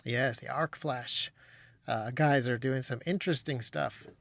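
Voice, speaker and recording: Quick, wry male podcast host. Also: mid-range voice, fairly even intonation, creaky, fairly bright tone, no room sound. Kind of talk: casual conversation.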